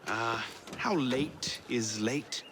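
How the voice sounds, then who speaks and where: A man's voice: mid-range voice, drawn-out words, a bit bright, a little hiss or hum. An actor playing a cheeky, roguish young man in a video game, with a flippant, bantering delivery. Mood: breezy, cheeky. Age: young adult. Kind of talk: video game cutscene acting.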